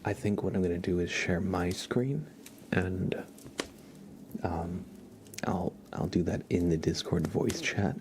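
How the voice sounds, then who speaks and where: A man hosting an ASMR livestream, talking to viewers in a soft, hushed, gentle and halting way. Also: deep voice, slight background noise. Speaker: live streamer, ASMR host.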